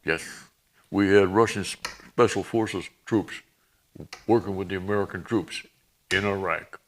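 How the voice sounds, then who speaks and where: A man in his eighties, a veteran guest reminiscing in plain-spoken, halting, folksy talk. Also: low voice, a little hiss or hum. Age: 80s. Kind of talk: reminiscing in a conversation.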